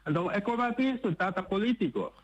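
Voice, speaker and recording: A man calling in to a radio show, talking in quick, repetitive phrases. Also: sits high, animated, lo-fi sound. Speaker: caller. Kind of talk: radio call-in.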